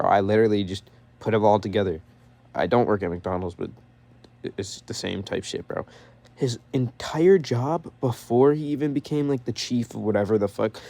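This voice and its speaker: A man's voice: fairly low pitch, creaky, a little mellow. A young man ranting casually to his viewers, profane and heated, saying bro constantly and stuttering when he gets mad. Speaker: vlogger.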